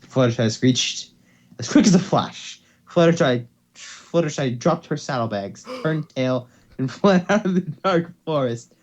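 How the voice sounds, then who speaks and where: A man's voice: a little high, lively intonation, measured pace, darkish tone. Man reading aloud on a dull mic. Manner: stumbling over words, repeating phrases. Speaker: host reading fan fiction. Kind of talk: amateur dramatic story reading.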